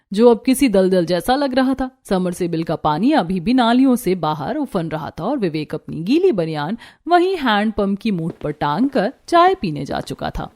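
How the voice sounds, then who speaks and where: A woman's voice: medium pitch, a little mellow. A woman narrating a scripted cartoon story.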